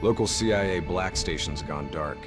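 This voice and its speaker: A man's voice: low-pitched, normal speed, over music, lightly acted. An actor playing a seasoned, easygoing male special forces operative in a video game. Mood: laid-back, businesslike. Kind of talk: video game cinematic acting.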